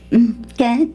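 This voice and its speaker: A woman's voice: high-pitched, mellow, slightly rough, full character voice. A woman playing an affectionate, concerned young wife from a rich family in an Ilocano radio drama, with an Ilocano accent.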